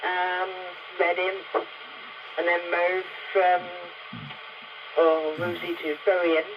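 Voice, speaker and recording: A woman's voice: lowish voice, completely flat delivery, slow. A woman, chatting in a plain, colloquial way over CB radio. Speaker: CB radio operator. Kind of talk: casual radio chat. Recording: dull, very noisy CB radio link.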